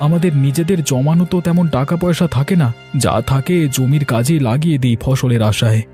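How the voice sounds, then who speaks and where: A man's voice: medium pitch, fairly quick, mellow, soft background music, close to an everyday voice. A man voicing a poor, worried village fisherman and farmer in a cartoon story, speaking in a plaintive, lamenting way. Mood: lamenting, anxious.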